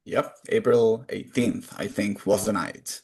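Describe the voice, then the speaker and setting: A man's voice: lowish voice, fairly even intonation, unhurried, a little mellow. Earnest Cuban-born man. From Cuba. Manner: earnest, open and reflective. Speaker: guest. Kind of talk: conversational interview answers.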